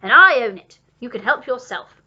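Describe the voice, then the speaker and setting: A woman voicing a grand, exuberant, generous male Duke in a storybook, with loud, emphatic, aristocratic delivery. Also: on the high side, very animated, moderate pace, breathy edge, highs cut off. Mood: booming pride.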